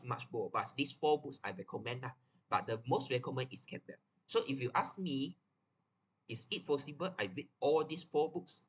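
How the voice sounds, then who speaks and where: A man's voice: mid-range voice, sharp tone, slightly rough, rough recording. A young man with a Malaysian English accent, giving a casual, chatty lesson on study tips. He often repeats himself and ends his phrases with "lah". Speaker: tutor.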